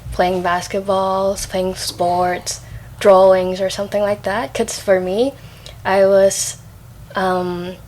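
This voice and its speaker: A young woman from Hong Kong is a guest on a podcast. Her voice is medium in pitch and soft-spoken, and her phrasing is simple. A light hiss of noise sits under the recording. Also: little pitch movement, slow. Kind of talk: casual interview chat.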